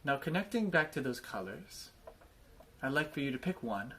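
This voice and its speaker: A man's voice: mid-range voice, very animated. Man guiding meditation, noisy background. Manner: gentle, invitational phrasing with frequent pauses. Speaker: guided meditation instructor. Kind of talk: guided body scan meditation.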